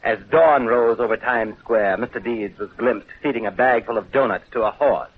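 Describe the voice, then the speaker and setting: An actor in a 1930s radio drama playing a brash, sardonic newspaper boss with a wisecracking, hard-boiled manner. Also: male voice, mid-range voice, normal speed, slightly rough, lightly acted. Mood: amused, sardonic. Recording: tinny, phone-like 1930s radio broadcast.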